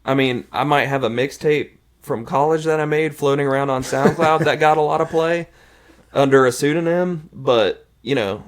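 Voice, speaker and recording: A man with a somewhat nasal, fairly high voice, co-hosting a podcast and telling relaxed stories in casual chat. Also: moderate pace. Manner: easygoing, anecdotal storytelling. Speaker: podcast co-host.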